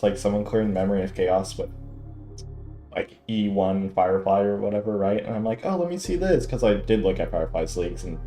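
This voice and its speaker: A man's voice: mid-range voice, mellow. A man giving casual, chatty, rambling live commentary as a game streamer. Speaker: game livestreamer. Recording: roomy mic, faint game music.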